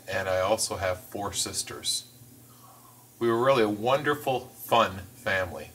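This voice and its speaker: A man voicing a signed interview as interpreter, phrasing things in a measured, careful way with the occasional restart. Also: on the low side, a bit bright, distant mic, slight background noise, low-quality recording. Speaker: sign language voice interpreter.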